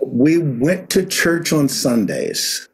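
A middle-aged man from Pittsburgh, a guest executive coach, telling his story in a halting way with fillers and restarts. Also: on the high side, sing-song, husky, poor audio. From Pittsburgh, Pennsylvania. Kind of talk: casual spoken interview.

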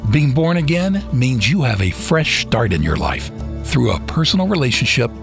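A male announcer reads a radio promo with a lively, varied delivery. His voice is gravelly, and clear music plays under him. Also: medium pitch, normal speed.

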